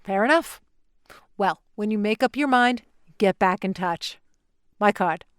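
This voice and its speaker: A woman's voice: mid-range voice, unhurried, smooth, no room sound, clean studio sound, close to an everyday voice. A woman playing an unflappable, businesslike alien real estate agent in an audio play, with a salesy delivery. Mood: smooth sales pitch.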